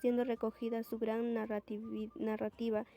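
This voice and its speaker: A woman's voice: fairly high pitch, monotone, normal speed, dark tone, soft background music. A woman presenting scripted narration, read out.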